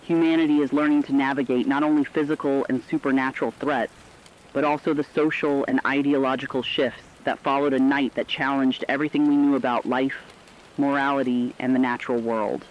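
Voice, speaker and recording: A man playing a sober radio broadcaster, reading a scripted post-catastrophe report in an earnest, measured way. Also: medium pitch, fairly even intonation, muffled recording, lightly acted. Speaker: radio announcer.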